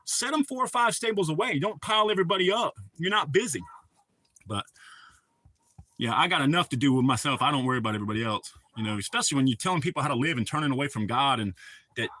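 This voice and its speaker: Bright-voiced man ranting with heavy profanity. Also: a little high, lively intonation, quick pace, dry recording. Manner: profane, rambling, heated storytelling. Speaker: livestream host. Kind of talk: casual ranting chat.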